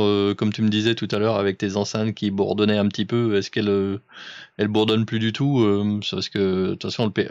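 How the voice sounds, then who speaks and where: A man's voice: on the low side, no echo, dull audio. A man coaching another amateur radio operator through settings in a casual, instructive way. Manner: informal, instructive. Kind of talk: casual technical chat over amateur radio.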